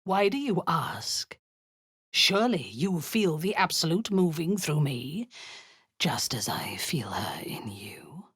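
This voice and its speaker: A woman's voice: deep voice, very animated, slow, fairly bright tone, slightly rough, dry recording, studio quality, heavily acted. A woman voicing a cruel, zealous drow warlord in a video game, giving cold commands that often sink into a menacing whisper.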